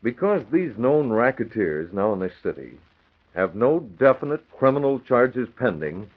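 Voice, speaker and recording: A man's voice: medium pitch, very animated, moderate pace. A man acting a serious, level-headed newspaper publisher in a 1940s radio drama. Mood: incredulous. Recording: thin, dull old radio recording.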